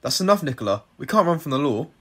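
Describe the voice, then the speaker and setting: A man's voice: fairly high pitch, sing-song, fast talker, fairly bright tone, slightly rough, full character voice. A man playing a concerned office colleague in an audio drama. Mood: uneasy.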